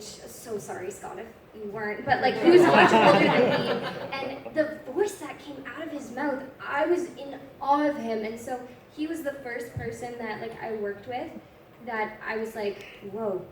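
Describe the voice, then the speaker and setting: A young woman, a voice actress, chatting through panel answers with a rambling, friendly flow. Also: on the high side. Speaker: voice actress, convention guest. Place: large convention hall. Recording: echoey hall, audience noise, distant.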